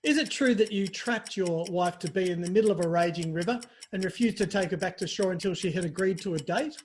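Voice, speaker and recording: A man hosting a quick-fire comic interview in a teasing, mock-formal, playful way. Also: sits high, a little hiss or hum. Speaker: interview host.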